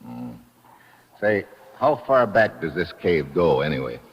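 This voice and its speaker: An actor playing a tough, wry hard-boiled American adventurer, terse and slangy, in a 1950s radio drama. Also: male voice, sits low, very animated, measured pace, breathy edge, full character voice. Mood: gruff, guarded. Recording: muffled old radio recording, reverberant, noisy.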